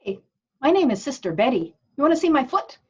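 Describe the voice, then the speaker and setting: A woman playing an odd, contented nun from the future in a comedy play reading, with a husky, earnest delivery. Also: high voice, very animated, a little fast, heavily acted. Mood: cheery, odd. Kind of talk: comedy play read aloud. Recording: dull, muffled microphone.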